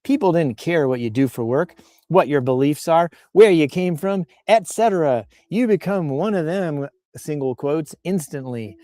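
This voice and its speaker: A man's voice: a little high, animated, moderate pace, few pauses, dark tone, no room sound, studio quality. A man hosting in a casual, improvised comic style, playfully reading posts aloud and rambling off into asides. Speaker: host reading and riffing on social media posts.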